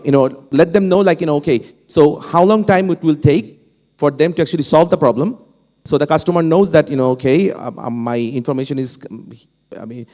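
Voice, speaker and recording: A man from Bangladesh, a native Bangla speaker with a Bangladeshi accent, speaking on a panel in a halting way, with many fillers and restarts. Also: fairly high pitch, a little mellow. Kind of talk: unscripted panel talk. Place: conference hall. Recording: long hall reverb.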